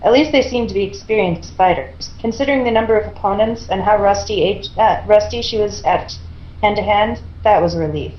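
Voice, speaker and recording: A woman's voice: medium pitch, fairly quick, muffled recording. Woman reading a story in an echoey room. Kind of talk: reading fan fiction aloud, narrating and voicing dialogue. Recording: roomy, echoing home recording.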